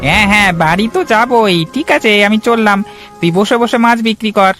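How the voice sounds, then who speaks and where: A woman's voice: mid-range voice, brisk, music in the background, close to an everyday voice. A woman playing a nosy, taunting veteran fish seller in a Bengali cartoon. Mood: dismissive.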